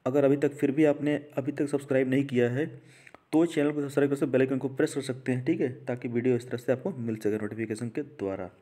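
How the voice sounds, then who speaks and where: A man's voice: medium pitch, steady tone, fast, mellow. A man hosting an informal educational explainer, talking conversationally with repeated phrases and frequent check-in tags. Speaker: host of an education channel. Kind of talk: informal explainer to camera-less video audience.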